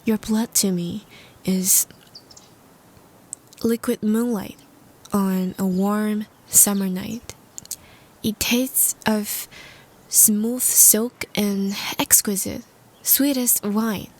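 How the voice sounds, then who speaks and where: A woman's voice: medium pitch, fairly even intonation, drawn-out words, pauses often, darkish tone. A woman voicing a polite, lovestruck ancient vampire who speaks in formal, old-fashioned, hesitant and earnest phrases in an intimate roleplay audio drama. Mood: rapturous.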